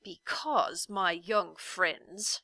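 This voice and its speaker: A woman voicing a villainous faceless male demon of petty tasks for a storybook, sly and menacing, drawing out words and moving between snarls and soft deceitful whispers. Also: fairly low pitch, extremely slow, no echo, heavily acted. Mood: sour, indignant.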